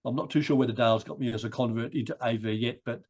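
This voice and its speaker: A man giving a rambling thank-you speech for a society, his voice croaky from a chest cold. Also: mid-range voice, fairly even intonation, quick pace, darkish tone. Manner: rambling, many restarts and repeated words.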